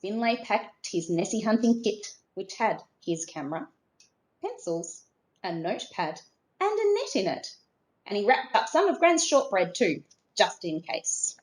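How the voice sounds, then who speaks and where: A woman narrating a children's storybook expressively, in a measured way with dramatic emphasis. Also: mid-range voice, big pitch swings. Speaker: storybook reader.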